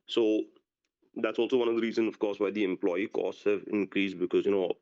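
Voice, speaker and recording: A man speaking as a company executive in unscripted business Q&A, halting and often restarting his phrases, on a conference call. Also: on the low side, steady tone, darkish tone, clean tone. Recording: dull, lo-fi conference call audio.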